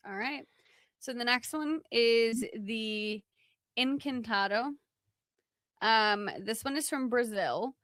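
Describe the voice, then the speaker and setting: A woman's voice: on the high side, slow, long pauses, fairly bright tone, no room sound, clean studio sound. A woman co-hosting a podcast, explaining folklore from notes in an informal, chatty way. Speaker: podcast co-host.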